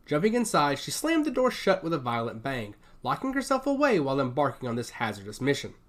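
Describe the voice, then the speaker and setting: Man narrating with widely swinging pitch. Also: wildly swooping pitch, brisk, a bit bright. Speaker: audio fiction narrator. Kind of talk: scripted dramatic story narration.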